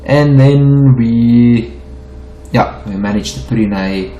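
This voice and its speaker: A man's voice: mid-range voice, slow, dark tone, reverberant, muffled recording, a little hiss or hum. A middle-aged South African man whose first language is Afrikaans, walking through trading charts in an unedited lesson, stumbling and repeating himself as he explains. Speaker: forex trading teacher. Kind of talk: unedited screen-share chart walkthrough.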